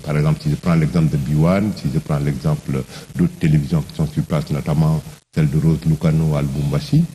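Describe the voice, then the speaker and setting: Male journalist guest, very low, dark voice, calm and unhurried. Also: a little hiss or hum. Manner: calm, thoughtful, with fillers. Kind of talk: interview answers.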